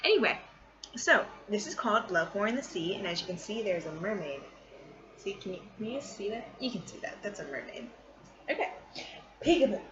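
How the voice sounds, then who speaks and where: A woman's voice: mid-range voice, big pitch swings, brisk, fairly bright tone. Casual, self-deprecating woman over noisy, muffled sound. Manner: self-deprecating, scattered, informal. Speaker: vlogger and amateur short story writer. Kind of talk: casual rambling vlog chat. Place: small bedroom at home, indoors. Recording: muffled, noisy room with fan running. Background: running fan noise.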